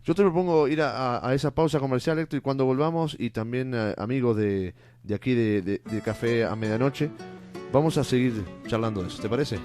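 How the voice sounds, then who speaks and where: A man's voice: medium pitch, brisk, darkish tone, faint music behind. A man hosting a talk show, asking questions conversationally and filling in with short acknowledgments. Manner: conversational, with many short backchannels. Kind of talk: casual interview talk.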